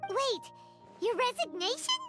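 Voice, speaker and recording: A woman voicing a tiny, childlike fairy companion in a video game, chirpy, nosy and cutesy, with a squeaky voice. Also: big pitch swings, a little slow, over music, full character voice. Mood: curious. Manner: speaks of herself in third person, cutesy.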